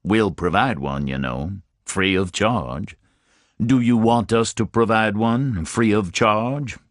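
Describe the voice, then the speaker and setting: A composed detective captain speaks in a deep, rumbling, precise voice, as a male narrator plays him. His diction is formal and measured. The delivery is slow and dry. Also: dry recording.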